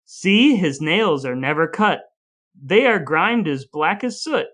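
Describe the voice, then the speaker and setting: Storybook narrator for grim cautionary rhymes, played by a man: higher-pitched, mock-solemn, measured and emphatic. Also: lively intonation, fairly bright tone, heavily acted. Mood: scornful. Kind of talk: dramatic verse reading.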